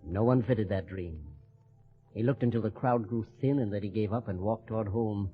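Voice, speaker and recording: An actor narrating an old-time radio drama as a solemn, lyrical storyteller. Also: male voice, fairly low pitch, moderate pace, slightly rough, faint music behind. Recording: slightly muffled old radio recording.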